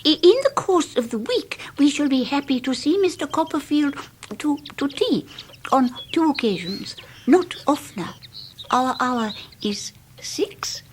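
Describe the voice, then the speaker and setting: A genteel, exacting older maiden lady in a radio drama. She speaks high and very variably in pitch, in clipped formal phrases. There is clear noise on the recording. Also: expressive, measured pace. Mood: stiff, exacting. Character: stiff, genteel, rule-setting spinster elder sister.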